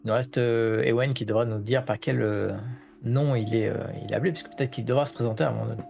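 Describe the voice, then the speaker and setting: A man runs a tabletop role-playing game, describing the scene in an unhurried, halting way. His voice has a gravelly edge at a middle pitch. Faint music plays behind him. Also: steady tone. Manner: hesitant, many fillers between phrases. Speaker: game master.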